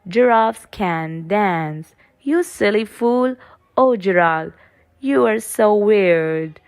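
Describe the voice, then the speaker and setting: Lady doing excitable storybook jungle animals, theatrical, unhurried delivery. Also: mid-range voice. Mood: sneering, mocking. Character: crowd of jungle animals, sneering then awestruck.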